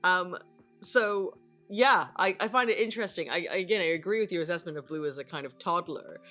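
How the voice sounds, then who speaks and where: A woman's voice: lowish voice, fairly quick, pushed and bright. A woman co-hosting a casual discussion in a reflective, wry, conversational way. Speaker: co-host, voice actor. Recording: music playing underneath.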